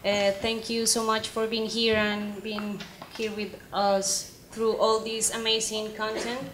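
A woman's voice: on the high side, pushed and bright. A woman with a Colombian accent chairs a conference panel, speaking hesitantly with many fillers. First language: Spanish. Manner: hesitant, many fillers, apologetic about her English. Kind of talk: panel moderation. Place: conference stage, large hall. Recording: roomy hall sound, faint noise.

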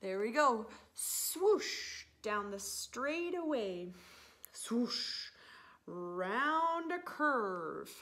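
A woman in her thirties, a teacher reading a picture book aloud to young children in an expressive, animated manner with drawn-out words. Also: a little high. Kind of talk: expressive children's story time read-aloud. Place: living room, indoors on a sofa.

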